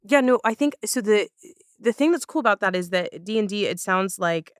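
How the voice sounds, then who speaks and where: A woman's voice: mid-range voice, expressive, normal speed, no room sound, studio quality. A woman hosting a casual podcast chat, feeling her way into questions with restarts. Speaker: podcast host.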